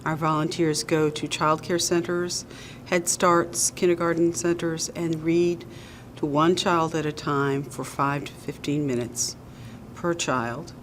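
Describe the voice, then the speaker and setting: A woman being interviewed as a nonprofit's executive director. She explains her program in a measured, earnest way, with an occasional um or uh. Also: deep voice, flat delivery, a little hiss or hum.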